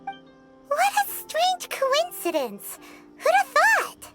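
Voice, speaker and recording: A woman voicing a tiny, childlike sprite companion in a video game, squeaky, wide-eyed and exclamatory. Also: huge pitch swings, drawn-out words, exaggerated voice. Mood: amazed, wry. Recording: loud music under the voice.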